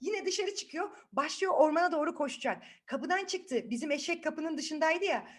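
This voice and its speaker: A woman's voice: sits high, moderate pace. A woman telling a children's story expressively, often putting questions to her listeners and acting out what happens. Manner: expressive, asks the listeners frequent questions, acts out events.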